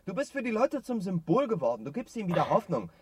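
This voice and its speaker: An actor playing a brash, impatient young adult son in a German radio drama, speaking casually and brusquely. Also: male voice, high-pitched, sing-song, fast talker, a little mellow, breathy edge, dry recording, full character voice. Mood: breezy, encouraging.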